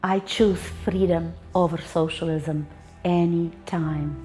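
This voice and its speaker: Earnest woman, lower voice. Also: fairly even intonation, slow, mellow, faint music behind. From Slovenia. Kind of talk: personal testimony to camera.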